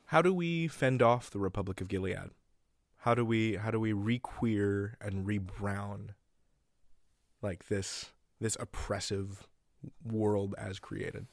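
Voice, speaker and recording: A man with a lowish voice hosts a conversational interview, recorded very dry with no room sound. He speaks thoughtfully and a little haltingly, piecing his thoughts together as he talks. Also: no echo. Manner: halting, thoughtful, tentative phrasing. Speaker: interviewer, podcast host.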